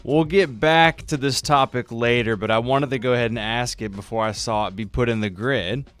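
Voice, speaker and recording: A man's voice: medium pitch, normal speed, a bit bright, smooth, soft background music. A man co-hosting a sports radio show, putting questions in casual talk. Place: dry radio studio.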